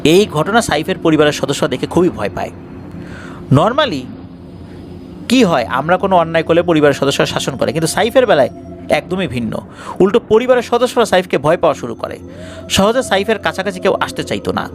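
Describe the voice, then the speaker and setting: A man's voice: on the high side, sing-song, brisk, a little hiss or hum. A man narrating a scripted ghost story in a dramatic storytelling style. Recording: music bed behind the voice.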